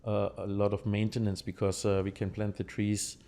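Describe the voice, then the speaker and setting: A man from Germany with a German accent, explaining things conversationally and haltingly in an interview, checking in with his listener. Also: fairly low pitch, level tone, mellow.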